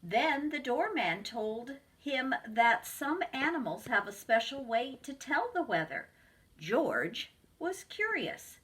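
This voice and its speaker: A woman, a preschool teacher, reading a picture book aloud to children in a slow, expressive storytelling voice. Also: high-pitched.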